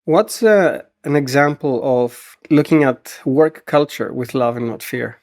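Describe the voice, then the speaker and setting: A man hosting a podcast, asking relaxed, curious interview questions. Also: mid-range voice, unhurried. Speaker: podcast host.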